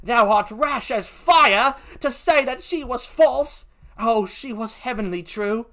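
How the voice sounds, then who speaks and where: A performer voicing an outraged, loyal and grieving gentlewoman with a put-on British accent, in theatrical Shakespearean acting. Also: mid-range voice, animated, takes it slowly, pitched above the performer's own voice. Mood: fiery, defiant. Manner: declamatory, impassioned, theatrical. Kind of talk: classical Shakespearean stage acting.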